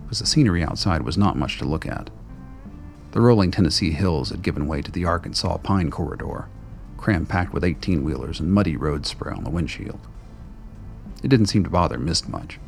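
A man's voice: low-pitched, vocal fry. A man reading scripted dramatic narration as the narrator of a radio play.

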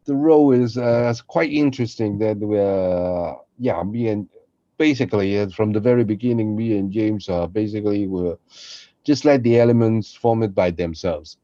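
A man with a lowish, mellow voice chats informally as a co-host. His phrasing is halting and non-native, recorded dry and a little dull. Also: dry recording. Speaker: podcast co-host, amateur voice actor. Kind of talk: casual behind-the-scenes chat.

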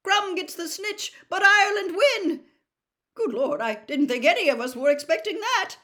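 A woman voicing an excited male sports announcer in a storybook, shouting with booming, drawn-out exclamations. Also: exaggerated voice. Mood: amazed.

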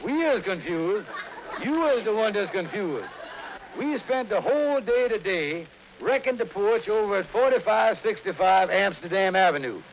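A high-pitched, evasive schemer from an old radio comedy, stammering and folksy. His grammar is loose and his manner flustered, on a dull recording thick with hiss and clicks. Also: lively intonation, moderate pace. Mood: defensive. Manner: stammering, folksy, ungrammatical talk.

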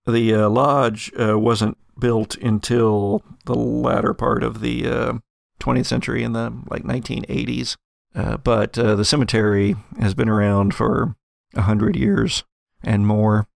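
An American man narrates a tabletop adventure as its game master. His voice has a creaky, fry-laden edge and sits very close and dry. He takes his time, feeling out descriptions in halting stretches at a slightly slow pace. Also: mid-range voice, flat delivery, no room sound. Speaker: game master of an actual-play audio drama.

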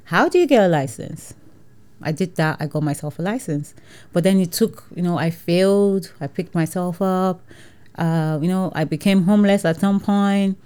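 Woman, Nigerian accent, lower-pitched voice, animated storytelling, laughs a lot. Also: normal speed. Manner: animated, acts out dialogue, laughs often. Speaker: entrepreneur guest. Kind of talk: casual storytelling interview.

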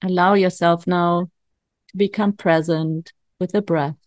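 A woman leading a guided meditation as a coach, giving calm, soothing instructions with long pauses. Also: fairly low pitch, no room sound, studio quality.

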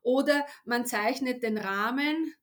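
A woman's voice: fairly high pitch, takes it slowly. A middle-aged woman, a native speaker of Italian, explains as an expert in an interview, emphatic and didactic, often tagging her points with a short check word. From Italy. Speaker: neuroscientist and language teacher, expert guest.

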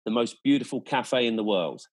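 A man's voice: medium pitch, animated, brisk. A man in a casual, conversational chat, recounting his experiences as a guest in an enthusiastic, descriptive and emphatic way.

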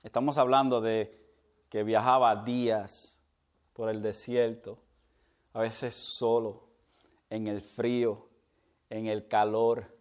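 A man's voice: lowish voice, flat delivery, takes it slowly, pauses often. A man preaching a sermon as pastor, slipping in English words and laughing at his own stumbles.

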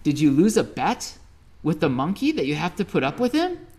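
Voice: very animated, moderate pace, a little mellow, breathy edge. A storyteller voicing a meddling magical forest sprite in a children's story, incredulous and exclaiming. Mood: indignant. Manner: high, exclaiming, incredulous questioning.

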